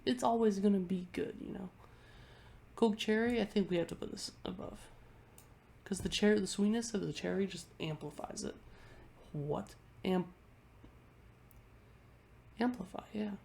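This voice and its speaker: A woman's voice: medium pitch, unhurried, creaky, pauses often, dark tone, a little hiss or hum. A congested woman vlogger chatting casually into her webcam at home, rambling and often restarting her sentences. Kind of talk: casual chat ranking things on a tier list.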